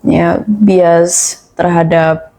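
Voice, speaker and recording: A young woman in a casual podcast chat as a guest who teaches her language, speaking thoughtfully and pausing to pick her words. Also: on the low side, fairly even intonation, mellow. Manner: thoughtful, measured, with frequent pauses and restarts.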